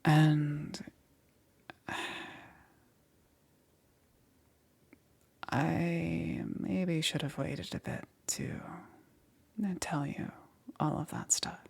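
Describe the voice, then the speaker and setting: A woman playing a gentle, apologetic witch in an intimate ASMR roleplay, speaking softly and hesitantly in a breathy near-whisper. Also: low voice, level tone, full character voice. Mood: earnest, reassuring. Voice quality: soft, breathy, often whispered. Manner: hesitant, halting, reassuring. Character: gentle, apologetic witch necromancer caring for her undead patient.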